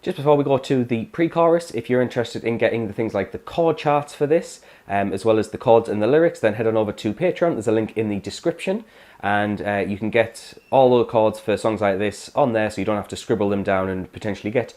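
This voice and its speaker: A man, hoarse from a cold, explaining guitar chords step by step in a casual tutorial. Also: fairly low pitch, brisk, a little mellow. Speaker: guitar teacher.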